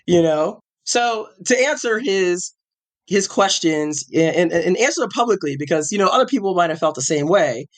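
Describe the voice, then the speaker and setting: A man's voice: high voice, lively intonation, normal speed. A man in his forties hosting a podcast, chatting casually and rambling with restarts, a little sick with a cough. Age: 40s. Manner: rambling, self-correcting, chatty. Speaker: podcast host.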